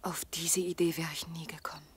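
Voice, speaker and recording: An actress playing a lonely, vulnerable young woman in a radio drama, speaking softly and breathily in a confiding way. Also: sits low, flat delivery, fairly quick, close to an everyday voice. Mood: tender, intimate. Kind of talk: German radio drama acting. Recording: faint night insect noise behind. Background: crickets.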